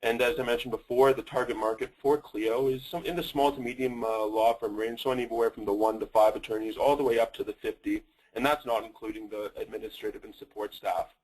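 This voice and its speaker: A man's voice: medium pitch, steady tone, fairly quick, darkish tone, poor audio. A man with a Canadian accent presenting a company in a webinar, talking with many fillers and restarts.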